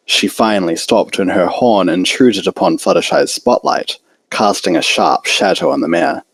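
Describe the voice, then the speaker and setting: A man's voice: low voice, gravelly, no room sound, poor audio. A man reading fan fiction aloud as an amateur story narrator.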